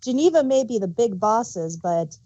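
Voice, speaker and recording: A woman's voice: on the high side, expressive, normal speed, mellow, no room sound, highs cut off. A woman co-hosting a fan review show, casually explaining details and filling in references in an informative way.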